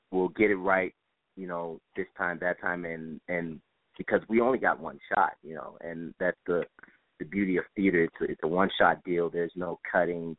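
A man being interviewed as a stage director, speaking conversationally and earnestly, repeating phrases for emphasis. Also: sits low. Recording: tinny band-limited phone line, roomy echo.

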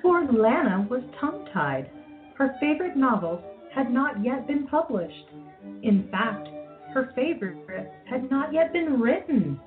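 A woman's voice: sits high, sing-song, measured pace, narrow, tinny audio, soft background music. A woman narrating a radio play, reading scripted storytelling passages.

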